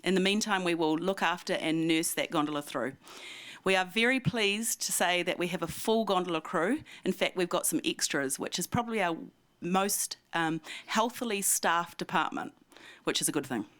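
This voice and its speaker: A woman's voice: on the low side, moderate pace, fairly bright tone, dry recording. A woman giving a chatty, self-deprecating ski resort update at a community meeting, with plenty of fillers. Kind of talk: community breakfast talk.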